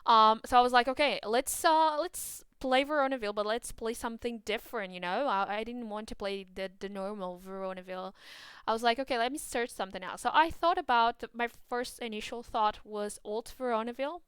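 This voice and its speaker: A woman with a Portuguese accent, chatting excitedly about a video game as a gaming YouTuber, with many fillers and restarts. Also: a little high, fairly quick, clean tone, no room sound.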